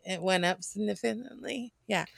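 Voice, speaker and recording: A woman's voice: mid-range voice, normal speed, gravelly, a bit bright, no room sound, clean studio sound. An American woman chatting casually and candidly, in an informal, rambling way with self-interruptions and some swearing. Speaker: podcast co-host.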